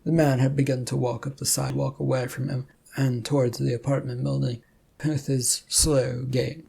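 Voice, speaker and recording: Narrator for comic fiction: dark, raspy voice, reading scripted prose. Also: medium pitch, flat delivery, normal speed. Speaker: story narrator.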